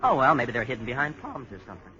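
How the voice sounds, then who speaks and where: Brash wiseguy, bright and bouncy voice, old radio hiss. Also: male voice, medium pitch, sing-song, racing. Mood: cocky. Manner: slangy, cocky wisecracking. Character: brash young American tourist, cocky and wisecracking. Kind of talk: 1940s radio acting. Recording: lo-fi 1940s radio broadcast with faint hiss.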